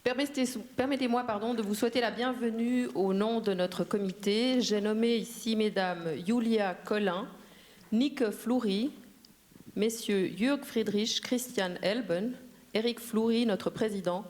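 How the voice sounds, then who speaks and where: A woman, a festival organizer, gives a brisk, formal welcome and reads out a list of thanks. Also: on the high side, darkish tone. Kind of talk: prepared thank-you speech. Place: cinema hall.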